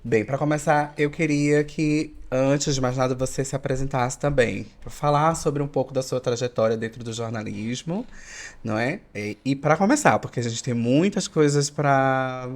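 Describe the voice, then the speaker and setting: A man's voice: medium pitch, normal speed. A man co-hosting a podcast, who opens the episode and asks his questions in a rambling, repetitive way. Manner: rambling, repeats himself while framing questions. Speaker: podcast co-host.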